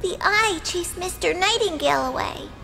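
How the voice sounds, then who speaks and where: A woman's voice: very high-pitched, animated, moderate pace, creaky, sharp tone, full character voice. An actress playing a young female character in a gothic mystery video game, with a dramatic delivery. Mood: grave relief. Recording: long reverb, faint music behind.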